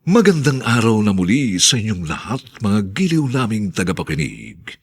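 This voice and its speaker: A man's voice: fairly low pitch, sing-song, normal speed, slightly rough. A Filipino man narrating a scripted radio drama as announcer, solemn, florid and theatrical.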